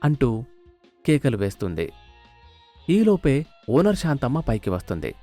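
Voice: medium pitch, sing-song, normal speed, warm tone, soft background music. A narrator reading a scripted moral story.

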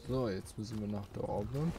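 A man's voice: fairly low pitch, fairly quick, background noise. A man doing casual let's play commentary, musing aloud and chatting to his viewers. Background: game music.

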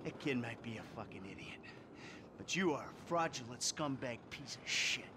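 A man's voice: mid-range voice, animated, normal speed, close to an everyday voice. An actor playing a menacing, sardonic middle-aged American father with a criminal streak in a video game. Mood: angry. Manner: threatening, sardonic. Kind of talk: acted video game dialogue. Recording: loud music and car noise behind. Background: music, vehicle.

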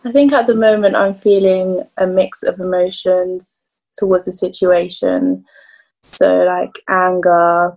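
Slow, gravelly young woman. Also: lowish voice, a little mellow, low-quality recording. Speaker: panel guest. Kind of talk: video call discussion.

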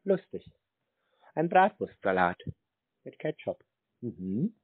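A man taking a prank phone call, answering in a deadpan, laconic and flippant way. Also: mid-range voice, takes it slowly. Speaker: prank call recipient baiting phone scammers. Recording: dry but narrow phone-like sound.

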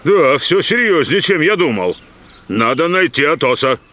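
A man voicing a big, blustery, loyal dog musketeer in a dubbed cartoon, exclamatory and booming. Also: big pitch swings, normal speed, pushed and bright. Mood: urgent. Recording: cartoon soundtrack with music underneath.

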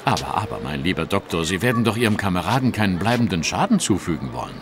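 Casting: shrewd gentleman detective, wry and theatrical, lowish voice with lively swings, radio drama. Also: quick pace, a little hiss or hum. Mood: wry, assured. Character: shrewd, self-assured male gentleman detective. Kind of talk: German radio play acting.

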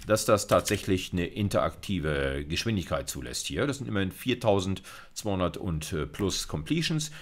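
A middle-aged man giving an informal university lecture, thinking aloud in a colloquial way as he demonstrates. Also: deep voice. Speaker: university lecturer in computer science. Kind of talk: informal lecture narrating a live coding demo.

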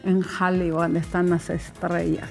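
A woman's voice: fairly low pitch, little pitch movement, moderate pace, gravelly. An elderly woman, bantering playfully and bluntly as a grandmother. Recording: music behind the voice.

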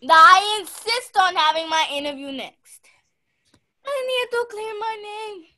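Young actress as a very high-pitched haughty lady. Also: lively intonation, measured pace, pushed and bright, full character voice. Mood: indignant. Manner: imperious, theatrical. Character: haughty, dramatic wealthy lady employer, indignant. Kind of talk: stage-style mystery radio play acting.

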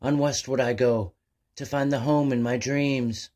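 A man's voice: medium pitch, steady tone, unhurried, darkish tone, voice pushed up, natural voice. A man voicing a sweet young female albatross, earnest and polite, in a children's storybook. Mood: determined.

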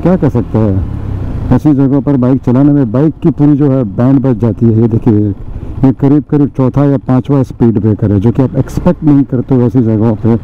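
A man's voice: medium pitch, fairly quick. A man in his forties, a motovlogger, chatting casually to viewers while riding his motorcycle outdoors on a helmet camera mic. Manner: chatty, addresses viewers as friends, many fillers. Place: outdoors on a motorcycle on city and village roads. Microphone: helmet or bike-mounted action camera mic. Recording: dull lo-fi outdoor riding audio with faint road noise. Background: faint traffic and road noise.

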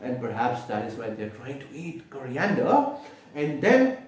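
A man's voice: mid-range voice, a little slow. A man with an Indian accent reading a picture book aloud to children, expressively explaining and asking questions as he goes. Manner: expressive, repeats and explains for young listeners. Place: small room indoors, seated at a table. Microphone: camera mic from a few feet away. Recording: echoey room, distant microphone.

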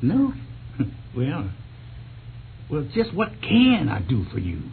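An actor playing a good-humored, bashful rural American farmer in an old radio drama, talking in a halting, folksy way. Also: male voice, medium pitch, wildly swooping pitch, gravelly, heavily acted. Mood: helpful. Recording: tinny old radio recording, faint noise.

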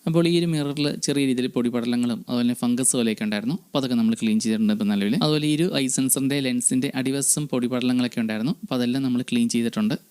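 A man narrates a device restoration, explaining each step to his viewers. He speaks very quickly, with a smooth, clean tone and a mid-range pitch. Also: darkish tone. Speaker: electronics repair channel host. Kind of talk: voice-over narration of a restoration tutorial.

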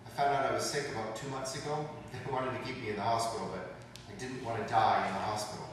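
A man's voice: fairly low pitch, fairly even intonation, quick pace, natural voice. A man playing a resigned, bedridden dying man in an amateur stage comedy, speaking matter-of-factly. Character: resigned, frank dying man confined to bed. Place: large rehearsal stage set, camera far off. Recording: echoey hall from far off, noisy.

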